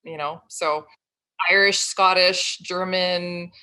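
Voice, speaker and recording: French Canadian woman guest, French native speaker, friendly, bright clear voice. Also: fairly low pitch, fairly even intonation, takes it slowly, rough recording. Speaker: podcast guest. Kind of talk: casual interview chat.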